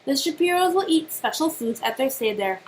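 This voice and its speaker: A woman voicing a patient, gently firm mother spider in a children's storybook, explaining things and fondly chiding. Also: high voice, lively intonation, a little fast, slight background noise. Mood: patiently explaining. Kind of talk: children's storybook read-aloud.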